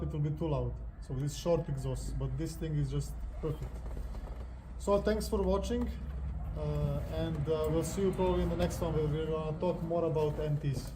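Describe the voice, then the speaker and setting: A man's voice: a little high, noisy background. A man with a Serbian accent hosting a motorcycle review in casual, unscripted vlog talk.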